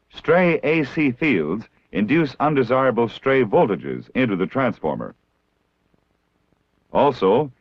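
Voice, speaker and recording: An American man reading scripted instructional narration in a measured, formal, didactic way, like a 1960s military training film. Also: lowish voice, dry recording. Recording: dull, lo-fi 1960s film soundtrack.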